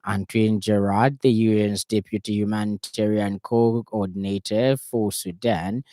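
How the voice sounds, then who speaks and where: A man with a Zambian accent reading a scripted radio news bulletin in a formal, measured way. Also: lowish voice, flat delivery, dark tone, dry recording. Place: radio studio.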